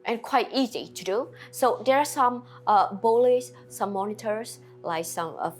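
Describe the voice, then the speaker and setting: A woman from Vietnam with a Vietnamese accent, a restaurant owner answering interview questions in halting, simple non-native English with many fillers. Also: fairly high pitch, soft background music.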